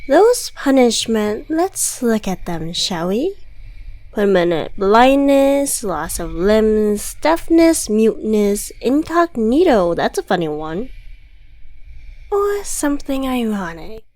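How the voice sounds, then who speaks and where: A centuries-old devil, played by a woman, speaks in a fairly high voice with theatrical polish. The delivery is suave and condescending, taunting the listener with mock friendliness and quiet menace. It is a scripted ASMR roleplay monologue. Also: big pitch swings, takes it slowly. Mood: stern, gleeful.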